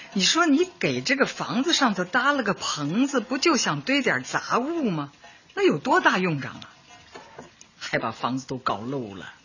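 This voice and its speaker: A woman playing a kindly, plain-spoken community party secretary in a Chinese radio drama, motherly as she advises and gently scolds. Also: on the low side, full character voice. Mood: frank, reassuring. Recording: muffled radio drama with music underneath.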